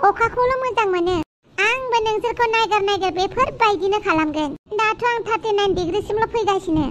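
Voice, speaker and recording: A woman's voice: extremely high pitch, noisy background. A woman voicing a proud, touchy sun queen in a cartoon, boastful and complaining. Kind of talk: cartoon dialogue.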